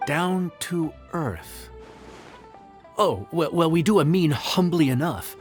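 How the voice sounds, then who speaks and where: A friendly restaurant man with a fairly high male voice. He is easygoing, encouraging and obliging in a natural game-dialogue style, with soft music behind. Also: lively intonation, slow pace. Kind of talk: natural video game voice acting.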